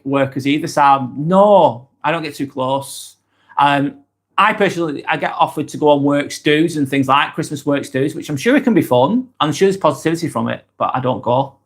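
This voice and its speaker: A man from East Lancashire with a Lancashire accent, chatting casually on a livestream vlog and reading out viewer comments. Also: on the high side. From East Lancashire, England. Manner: chatty and rambling, with frequent ums and restarts. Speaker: livestream vlogger.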